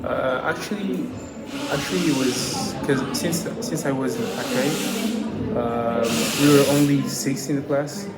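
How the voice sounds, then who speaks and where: A young man of nineteen, a podcast guest, answering questions in a halting way with many restarts. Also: medium pitch. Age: young adult. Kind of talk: casual interview. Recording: echoey hall with loud crowd. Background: loud crowd chatter.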